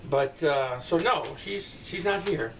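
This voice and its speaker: Middle-aged father, blunt rambling talker, slightly raised pitch; distant-mic bedroom recording with faint noise. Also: lively intonation, a little slow. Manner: rambling, blunt, profane asides. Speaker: father answering viewer comments. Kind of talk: casual comment-response chat. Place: bedroom at night, indoors.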